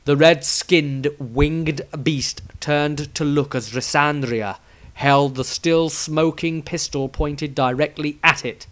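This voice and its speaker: A man's voice: on the high side, fairly even intonation, takes it slowly, fairly bright tone. A man reading a scripted fantasy adventure aloud as a dramatic storyteller.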